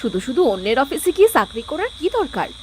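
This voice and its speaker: A woman's voice: high-pitched, sing-song, fast, slight background noise. A woman playing an affectionate young daughter-in-law in a cartoon family drama. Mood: gently nagging. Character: affectionate young Bengali daughter-in-law, homely, gently complaining wife.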